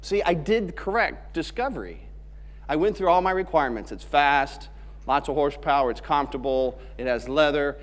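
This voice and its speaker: A man's voice: on the high side, lively intonation, normal speed. Joking man in a conference hall. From Alabama, USA. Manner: joking, conversational presenter. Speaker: conference speaker. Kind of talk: technical conference presentation. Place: large conference hall stage. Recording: long hall reverb on stage mic.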